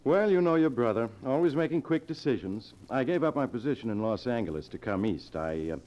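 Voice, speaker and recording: An actor playing an ingratiating, flattering American brother-in-law in 1940s radio style, slightly hesitant at times. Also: male voice, medium pitch, brisk, lightly acted. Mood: breezy, fond. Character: smooth-talking, ingratiating visiting brother-in-law. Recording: dull old radio recording.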